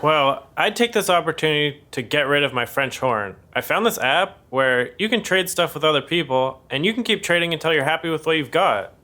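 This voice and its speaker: Bright, high-pitched oddball young guy. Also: expressive, brisk, few pauses. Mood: earnest. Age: young adult. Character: oddball, literal-minded twenty-one-year-old male roommate. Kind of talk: podcast sitcom acting.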